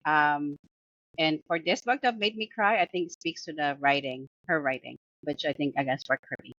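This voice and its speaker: A woman with a Filipino accent talks loosely about a book, full of "um"s and restarts, laughing as she goes. Her voice sits a bit low and sounds dull and lo-fi. The recording is close and dry. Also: normal speed, fairly bright tone, dry recording. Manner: chatty, full of fillers and restarts, laughs often. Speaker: book podcast co-host. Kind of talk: casual book review chat.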